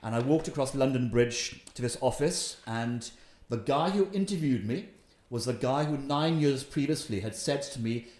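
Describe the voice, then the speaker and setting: A man in his fifties with a Welsh accent, telling his story in an interview with animated, emphatic delivery. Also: mid-range voice, moderate pace, echoey room. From Wales. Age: 50s. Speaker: investment guest. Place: room in his house.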